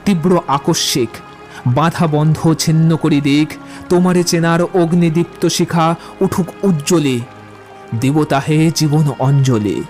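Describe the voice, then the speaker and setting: An actor playing a witty, poetry-loving young romantic suitor in an audio drama, with eloquent, theatrical delivery. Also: male voice, a little high, unhurried, darkish tone, faint music behind, lightly acted. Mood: ardent, declamatory. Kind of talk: Bengali audio drama acting.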